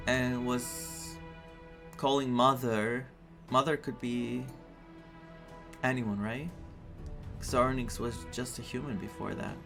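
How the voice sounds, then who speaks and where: A man giving casual playthrough commentary as a gaming streamer, thinking aloud in a halting way, with game music behind him. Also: medium pitch, creaky.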